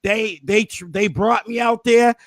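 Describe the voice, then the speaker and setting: A hoarse man hosting a casual recap, hyping things up with preacher-like flourishes. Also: high-pitched, a little slow, fairly bright tone, no echo, studio quality. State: hoarse, losing his voice. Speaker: host.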